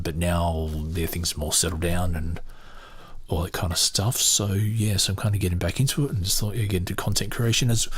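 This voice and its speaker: Deep, husky-voiced male podcast host. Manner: relaxed, rambling, with hesitations and self-corrections. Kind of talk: casual podcast chat.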